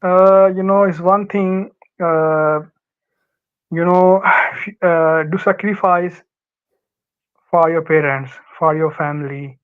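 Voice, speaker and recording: A young Pakistani man, Urdu his first language, talks through his experience with many fillers and false starts. His voice sits a little high and stays flat, yet comes through smooth and clear. The sound is dull and lo-fi, like an online call. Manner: halting, many fillers and restarts. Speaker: guest, former student. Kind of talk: casual interview advice.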